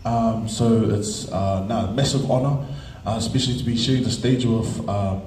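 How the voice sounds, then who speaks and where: Hesitant singer at a press conference. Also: male voice, fairly low pitch, steady tone, normal speed, a little mellow, a little hiss or hum. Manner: hesitant, many fillers. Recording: echoey room, distant mic.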